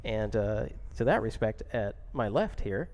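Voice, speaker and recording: A man's voice: fairly low pitch, expressive, unhurried, darkish tone, a little hiss or hum. A man hosting a casual boxing podcast, introducing guests and teasing his co-host in friendly banter.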